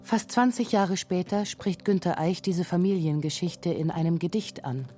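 A woman's voice: on the low side, moderate pace, mellow, faint music behind. A woman narrating a scripted radio feature as its documentary narrator.

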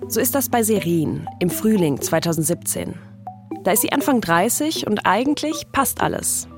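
A woman's voice: medium pitch, animated, normal speed. A woman podcast host, reading scripted storytelling narration. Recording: music playing behind the voice. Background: music.

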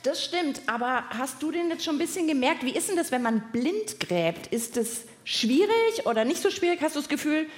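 Lively, high-voiced woman hosting for kids. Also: moderate pace, hardly any pauses. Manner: asks many questions, explains in simple playful words. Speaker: host of a children's science podcast. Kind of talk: interactive live show moderation, casual questions to children. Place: live on stage at a podcast festival with audience.

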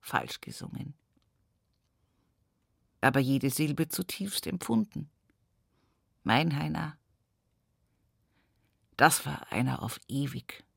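Husky, slow-speaking Bavarian farm daughter. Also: female voice, low voice, lively intonation, no room sound, clean studio sound, heavily acted. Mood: wistful. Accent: Bavarian, Upper Palatinate. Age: 60s. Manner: halting, lamenting delivery. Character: weary, devout rural daughter nearing seventy, grieving, plain-spoken. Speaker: actress in a radio monologue. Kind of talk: dramatic radio play monologue.

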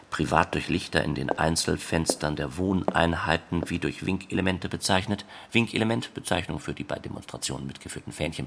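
A man's voice: low-pitched, vocal fry, a bit bright, slight background noise, exaggerated voice. A man reading scripted literary narration for a radio play.

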